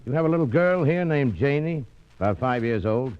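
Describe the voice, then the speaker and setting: A man's voice: mid-range voice, expressive, moderate pace, clean tone, close to an everyday voice. Curt, menacing radio gunman played by a man. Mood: cold, businesslike. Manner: clipped, commanding. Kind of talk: 1940s radio acting. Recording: muffled, noisy vintage radio recording.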